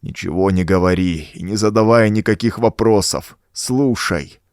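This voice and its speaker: A man's voice: fairly low pitch, normal speed, darkish tone, clean tone, no echo, studio quality, lightly acted. A man voicing a dying old former cultist, groaning out a desperate, laboured confession for a horror audiobook. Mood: bitter, desperate. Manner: groaning, laboured, dramatic delivery. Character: dying old male renegade cultist confessing in dread and agony. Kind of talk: acted audiobook dialogue.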